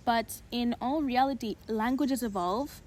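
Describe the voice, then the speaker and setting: A woman's voice: on the high side, unhurried, a little mellow, slight background noise. Young woman with a mild American accent. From Uganda. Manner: reflective, many filler likes. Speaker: podcast co-host. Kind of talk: casual chat.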